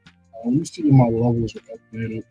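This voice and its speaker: A man with a deep voice hosting a live trading stream, talking casually through charts and often checking in with "right?". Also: wildly swooping pitch, normal speed, creaky, mellow, husky, muffled recording, faint music behind. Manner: loose, repeats "right?" to check in with viewers. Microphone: streaming setup microphone.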